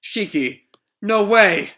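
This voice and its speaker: A man voicing an excitable, bossy young heroine from a comic, exclaiming urgently. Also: sits high, takes it slowly, pitched above the performer's own voice, exaggerated voice. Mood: alarmed.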